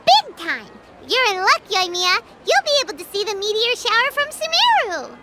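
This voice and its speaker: An actress voicing a tiny, chirpy, childlike companion in an anime-style video game, eager, curious and confident. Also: female voice, very high-pitched, sing-song, normal speed, very noisy. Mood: eager, pleased.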